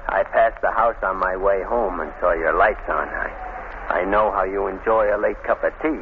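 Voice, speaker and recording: Man playing anxious low-voiced servant. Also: moderate pace, close to an everyday voice. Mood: deferential. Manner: deferential, uneasy. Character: anxious, deferential male servant, guilty and pleading. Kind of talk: 1930s radio drama acting. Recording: tinny, noisy 1930s radio recording.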